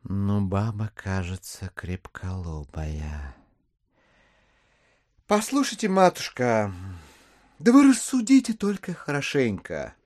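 An actor playing a scheming, smooth-talking gentleman swindler in a classic audio drama, insistent, wheedling and easily exasperated. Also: a little high, very animated, unhurried, dry recording, studio quality. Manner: insistent, wheedling, theatrically emphatic.

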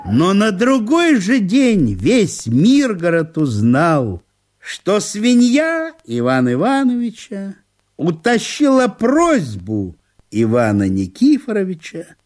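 A male narrator giving an expressive, theatrical reading of a literary story for radio. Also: high voice, slow pace. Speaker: narrator, actor.

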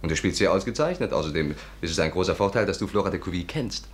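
An actor playing a cocky, persuasive, charming schemer with a brisk, coaxing manner in a 1950s radio drama. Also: male voice, deep voice, lively intonation. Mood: brisk, confident. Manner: brisk, coaxing, self-assured. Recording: noisy old 1950s radio recording.

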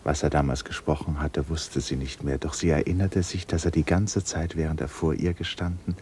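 A man narrating a literary radio drama in a measured, expressive storytelling manner. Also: very deep voice. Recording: noisy radio production with ambience. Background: noise, ambience.

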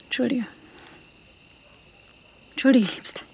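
A woman's voice: fairly high pitch, slow, creaky, darkish tone, husky, heavily acted. An actress playing an ambitious woman manager in a radio drama, telling of lost love in a wry, colloquial way. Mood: concerned, hushed. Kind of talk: radio drama first-person narration and dialogue.

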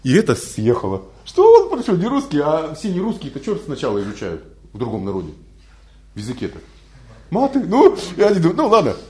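A man, not a native speaker, delivers a spiritual lecture with a lot of expression. His voice sits a little high and swings widely in pitch as he fires off rhetorical questions and tells anecdotes. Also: brisk. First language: not Russian. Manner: animated storytelling with rhetorical questions. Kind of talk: recorded religious lecture.

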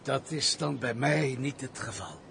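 A misanthropic gentleman hermit in a radio play. He is brusque, bitter and irritable, speaking in curt phrases at a medium male pitch. Clear background noise and outdoor ambience surround him. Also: expressive, a little slow. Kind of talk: Dutch radio play acting.

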